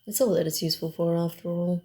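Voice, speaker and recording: A woman voicing a sly, guarded, secretive female vampire in a hushed, theatrical and deliberate ASMR roleplay style. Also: fairly low pitch, steady tone, natural voice. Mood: sly, ominous.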